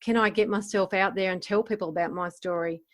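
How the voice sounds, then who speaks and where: A woman's voice: medium pitch, fairly even intonation, fairly quick, fairly bright tone. A woman of about fifty answering interview questions as a life coach, reflective and informal, often voicing her own thoughts as quotes. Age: around 50.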